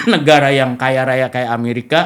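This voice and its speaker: Middle-aged man, mid-pitched voice, casual talk show conversation, step-by-step explaining. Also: fairly even intonation, moderate pace, fairly bright tone, clean tone. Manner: discursive, explains step by step with history and examples.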